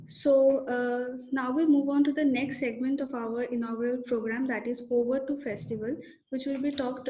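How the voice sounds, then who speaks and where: A woman's voice: on the high side, darkish tone. A woman, hosting an online programme and formally reading out a speaker introduction. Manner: formal, polite, read-aloud. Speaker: host, emcee. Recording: echoey room.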